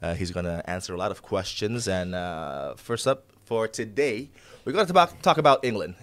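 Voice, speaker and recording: A man's voice: sits low, lively intonation, normal speed. A man hosting a casual football talk show, hesitant with many filler words.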